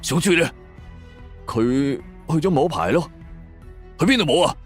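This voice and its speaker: Man acting a bossy Cantonese detective, high and urgent. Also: expressive, a little slow, soft background music. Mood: urgent, alarmed. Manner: barking, commanding, impatient. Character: gruff, urgent, bossy male police detective. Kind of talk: suspense audio drama acting.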